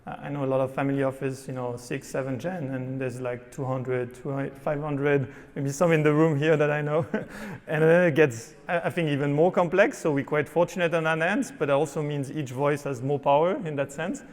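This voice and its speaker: A man answering as a panelist, halting, with many restarts and fillers. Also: fairly high pitch, steady tone. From Switzerland. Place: conference hall on stage. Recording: reverberant conference hall.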